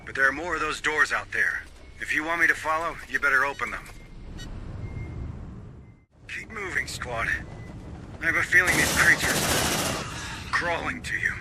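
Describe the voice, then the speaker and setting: An actor playing a gruff, stubborn commander of space marines in a video game, giving clipped, commanding briefings with dry sarcasm. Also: male voice, a little high, animated, normal speed, pauses often, noisy background, lightly acted. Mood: commanding, focused. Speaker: military commander. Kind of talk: video game cutscene acting and mission briefing.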